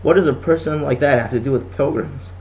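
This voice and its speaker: A man's voice: medium pitch, fairly quick, darkish tone, a little hiss or hum, voice pushed up, close to an everyday voice, low-quality recording. A man voicing a snooty, mocking schoolgirl in a storybook reading, with a scornful, taunting delivery.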